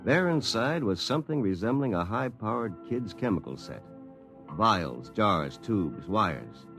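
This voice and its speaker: A self-assured, successful attorney, narrating in a fairly low voice. He is wry and confiding, in old radio drama style, with clear music underneath. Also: exaggerated voice. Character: self-satisfied, successful male attorney recounting his story. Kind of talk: 1950s radio drama first-person narration. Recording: 1950s radio broadcast, music behind.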